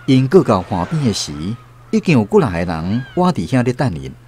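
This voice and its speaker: A man's voice: mid-range voice, big pitch swings, fairly quick, darkish tone. A man with a Taiwanese accent reading scripted storytelling narration for a radio drama. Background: faint music.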